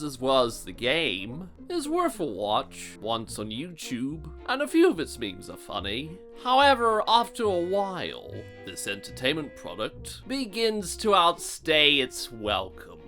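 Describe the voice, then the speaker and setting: Theatrical male reviewer, very animated delivery. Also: a little high. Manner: theatrical, exasperated, sardonic. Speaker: video reviewer. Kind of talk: scripted review narration.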